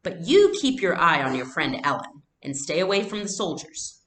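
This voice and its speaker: A woman reader voices a young man from a storybook, warm yet serious, a trusted family friend. The recording is echoey and roomy. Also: fairly low pitch, sing-song, moderate pace. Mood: grave.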